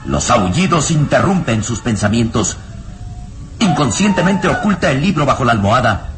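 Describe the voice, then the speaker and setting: A man narrating a radio melodrama in suspenseful, measured phrases with dramatic emphasis. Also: medium pitch, big pitch swings. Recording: radio drama with music underneath.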